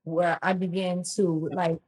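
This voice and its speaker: Podcast guest: woman, 30s, husky tone, rambling and conversational. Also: on the low side, a little slow. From Louisiana, US. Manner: chatty, animated storyteller with many ums and restarts. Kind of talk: casual storytelling interview.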